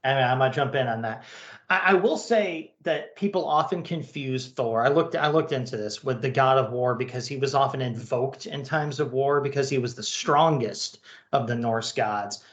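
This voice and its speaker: A man's voice: medium pitch, normal speed, reverberant, muffled recording. A man co-hosting a comic-book podcast, narrating and commenting. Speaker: podcast co-host.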